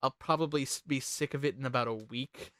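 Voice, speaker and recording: A host in a casual group chat, talking in an animated, rambling way with some swearing. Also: mid-range voice, a little fast, no room sound.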